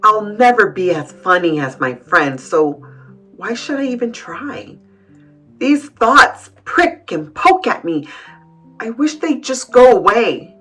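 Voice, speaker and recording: Woman reading as an earnest young boy, slow and swooping. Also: mid-range voice, very animated, a bit bright, breathy edge, faint music behind, exaggerated voice. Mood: anxious, doubtful. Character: worried young boy pondering his thoughts, earnest. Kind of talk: storybook read-aloud.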